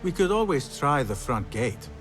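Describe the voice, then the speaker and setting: An actor playing an ambitious young street thief in a game cutscene, earnest and headstrong. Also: male voice, mid-range voice, big pitch swings, normal speed, faint music behind, natural voice. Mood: determined. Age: young adult. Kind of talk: video game cutscene acting.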